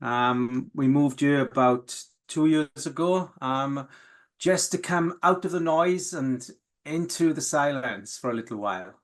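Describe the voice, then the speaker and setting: Welsh valleys guy, talks slow and thoughtful, lots of ums, grew up speaking welsh. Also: medium pitch, animated. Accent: South Wales valleys. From Wales. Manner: slow, reflective, with many fillers and restarts. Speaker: guest. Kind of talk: candid, emotional interview.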